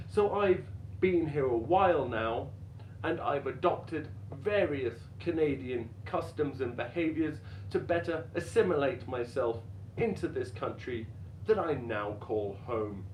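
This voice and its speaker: A man performing a stand-up routine as a dramatic reading, deadpan and self-deprecating, with deliberate pauses. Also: on the high side. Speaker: stand-up comedian. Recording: roomy echo with faint background noise.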